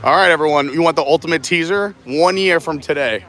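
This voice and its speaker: A man from New York hosting an excited live walkaround stream outdoors at a resort, gushing over what he sees. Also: on the high side, brisk. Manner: enthusiastic, gushing.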